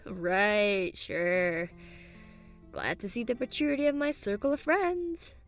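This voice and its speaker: A woman's voice: medium pitch, slow, faint music behind. A woman playing a kind, poised young female student with a dry wit in an audio drama. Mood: bright, amused.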